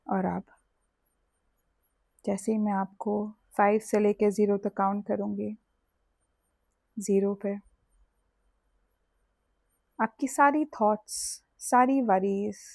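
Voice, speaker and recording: A woman with an Indian accent leads a guided sleep meditation in a soothing, deliberate way, leaving long pauses between phrases. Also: medium pitch, fairly even intonation, vocal fry, darkish tone, no room sound. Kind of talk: guided sleep hypnosis with body scan.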